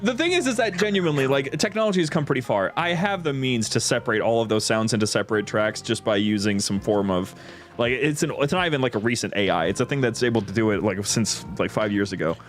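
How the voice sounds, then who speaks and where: A man's voice: medium pitch, expressive, fast. Enthusiastic male streamer over game music. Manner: giggly, enthusiastic, rambling. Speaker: streamer co-hosting a mod showcase. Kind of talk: casual gameplay chat.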